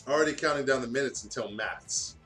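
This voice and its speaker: A man's voice: mid-range voice, normal speed, bright, forceful tone. Man reading aloud over music. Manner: informal, sweary, breaks off to riff. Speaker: gaming channel host reading fan fiction aloud. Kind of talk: jokey read-aloud with casual chat. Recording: music playing behind the voice.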